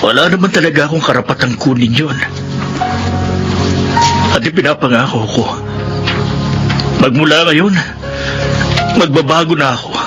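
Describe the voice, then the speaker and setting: A man's voice: on the high side, normal speed, pauses often, lightly acted, low-quality recording. A man playing a middle-aged father, a remorseful moneylender, in a Filipino radio drama. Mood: remorseful, grateful. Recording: radio drama under loud music.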